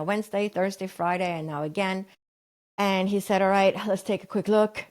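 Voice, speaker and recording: Swedish-raised woman telling her story. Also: on the low side. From Sweden. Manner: animated retelling, acts out quoted voices. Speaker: guest. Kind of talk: personal storytelling.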